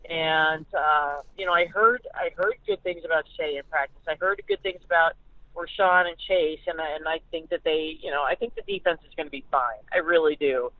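A woman's voice: low-pitched, normal speed. A woman sports writer giving conversational analysis as a guest, thinking aloud somewhat hesitantly over a phone call. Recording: band-limited phone line.

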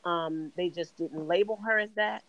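A middle-aged woman from Chicago with a raspy voice, a singer answering interview questions in an earnest, measured way. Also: lowish voice, a bit bright, lo-fi sound. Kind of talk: podcast interview.

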